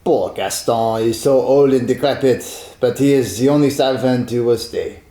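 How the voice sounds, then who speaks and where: A man's voice: medium pitch, normal speed, dark tone, echoey room, natural voice. An actor playing a proud, courteous young French nobleman fallen on hard times, with a French accent, in a radio drama. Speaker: Vicomte de Verlon.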